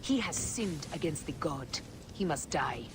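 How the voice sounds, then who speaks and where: Stern, commanding Egyptian royal servant. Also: female voice, low voice, animated, measured pace, noisy background. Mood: cold resolve. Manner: formal, commanding. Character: stern, resolute adult woman of ancient Egypt, queen's servant. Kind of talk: dramatic video game acting.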